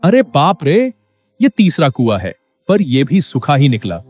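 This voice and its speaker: A man playing an honest, poor villager, earnest and polite, in an animated story. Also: on the high side, measured pace, slightly rough, full character voice. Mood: worried. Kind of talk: animated Hindi moral story acting. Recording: dull lo-fi audio with music behind.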